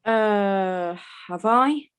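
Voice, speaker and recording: A woman's voice: medium pitch, expressive, drawn-out words, clear, resonant tone. A woman playing a bumbling, forgetful wolf puppet, hesitant and muddled, in a scripted comedy. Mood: flustered.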